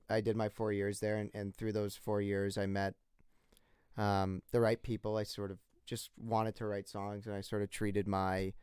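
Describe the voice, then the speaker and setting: Smooth low-voiced man in his twenties. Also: darkish tone, dry recording, clean studio sound. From northeastern United States. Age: 20s. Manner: rambling, many restarts and "sort of". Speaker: guest singer-songwriter. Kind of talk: casual interview chat.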